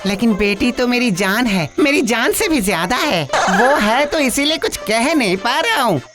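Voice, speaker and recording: A woman's voice: on the high side, animated, unhurried, over music. A woman voicing a lovesick young male cartoon ghost, eager and sulky, pleading and complaining dramatically. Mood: grumbling. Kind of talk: animated comedy cartoon acting.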